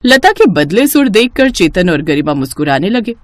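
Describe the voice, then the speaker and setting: A woman narrating a story in an expressive storytelling style. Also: lowish voice, moderate pace.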